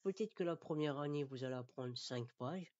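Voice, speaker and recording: A man from France giving an informal lesson as a Quran recitation teacher, halting and repeating his words, often checking that listeners follow. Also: a little high, a little mellow, dry recording, highs cut off. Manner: didactic, checks understanding. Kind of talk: informal spoken lesson addressed to learners.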